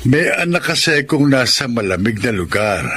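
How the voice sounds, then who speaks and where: An actor playing a kindly, halting widowed grandfather of about seventy in a Tagalog radio drama. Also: medium pitch, sing-song, bright, forceful tone, slight background noise. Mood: kindly, patient. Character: gentle lonely widowed grandfather who crochets for his children.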